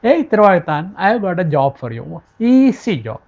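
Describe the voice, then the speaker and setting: A woman's voice: fairly low pitch, big pitch swings, quick pace, darkish tone, highs cut off. A woman voicing a bossy but generous, easily exasperated king in a spoken folk tale. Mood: kindly, commanding.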